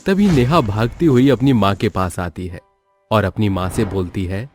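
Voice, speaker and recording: A man's voice: lowish voice, moderate pace, darkish tone, faint music behind. A man narrating a scripted children's story as the storyteller.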